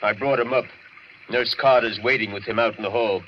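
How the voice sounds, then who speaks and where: An actor playing a blunt, uneasy asylum attendant with slangy, brusque speech in 1940s radio style. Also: male voice, medium pitch, fairly even intonation, a little fast, lightly acted. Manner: plain-spoken, slangy, brusque. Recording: muffled, noisy 1940s radio recording.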